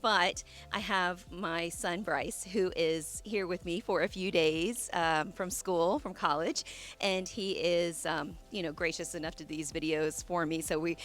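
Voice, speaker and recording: A woman's voice: fairly low pitch, steady tone, measured pace. Woman w a scratchy cold voice, rambly and friendly, showing off her yard outside. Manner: chatty, warm asides with fillers and restarts. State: hoarse, losing her voice from a cold. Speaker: landscape designer and channel host. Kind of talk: casual garden tour vlog. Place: outdoors in her own garden side yard. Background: soft music and running water.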